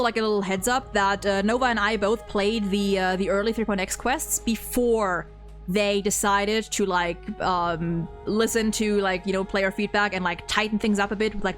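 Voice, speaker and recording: A woman hosting a casual, unscripted opinion chat about games, rambling and thinking aloud in halting phrases. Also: medium pitch, a bit bright. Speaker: host of a video game ranking video.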